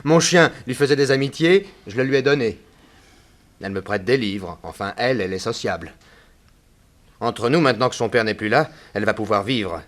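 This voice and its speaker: A man's voice: medium pitch, expressive, normal speed, fairly bright tone, lightly acted. A man playing a defensive, talkative young potter in a 1960s French radio drama. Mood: chatty. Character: young male potter, defensive, chatty, cheeky son.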